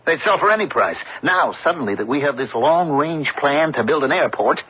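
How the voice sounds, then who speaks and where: A muffled old radio drama with a hard-nosed businessman, very husky and rough. He is brusque and defensive, questioning people impatiently, and his pitch swings widely as he argues. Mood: curt, suspicious.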